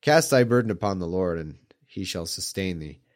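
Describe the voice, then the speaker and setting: A congested man reading old scripture aloud at an even pace, breaking off for mocking, irreverent asides. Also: sits low, moderate pace. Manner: irreverent, mocking, chuckles at the text.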